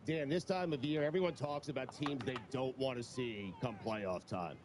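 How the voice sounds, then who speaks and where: A man's voice: medium pitch, normal speed, background noise. Mock-serious man delivering a bold take. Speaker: show regular. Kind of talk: studio talk show chat.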